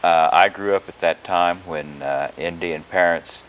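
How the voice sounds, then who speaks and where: Deep-voiced older man, halting answers. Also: a little hiss or hum, rough recording. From Oklahoma. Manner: measured, hesitant with many filler pauses. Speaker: guest, retired education professor. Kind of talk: interview answers.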